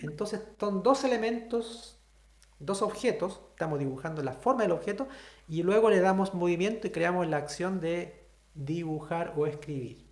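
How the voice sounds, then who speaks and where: A man's voice: sits high, moderate pace, echoey room. A man with a Chilean accent teaching a sign language class, explaining step by step and checking in with students. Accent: Chilean Spanish. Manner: explanatory, asks the class frequent questions. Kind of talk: online class lecture.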